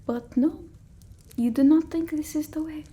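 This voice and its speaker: A woman voicing a haughty centuries-old female vampire, breathy and often whispering, in an ASMR roleplay. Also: high-pitched, normal speed, dark tone, lightly acted. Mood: nostalgic, indignant. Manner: broken old-world English, dramatic and haughty. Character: theatrical female vampire, centuries old, bored, demanding, scornful of humans.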